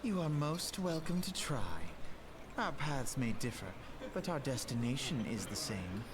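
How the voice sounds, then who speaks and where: A man playing a self-assured young noble brother in a fantasy game, speaking in lofty, measured, formal phrases. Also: mid-range voice, expressive, background noise, close to an everyday voice. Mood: smug, assured. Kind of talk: scripted fantasy game cutscene acting.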